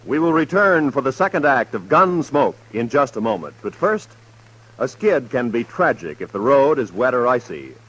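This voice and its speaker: Husky, animated male radio announcer. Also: mid-range voice, normal speed, poor audio. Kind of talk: scripted 1950s radio announcement.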